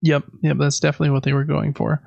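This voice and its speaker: A male podcast co-host with a fairly high voice talks casually and conversationally. The recording is very dry and close, with a somewhat muffled, lo-fi tone. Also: completely flat delivery, fast talker, creaky, darkish tone, dry recording. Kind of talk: casual chat about video games. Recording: dry, slightly dull lo-fi mic.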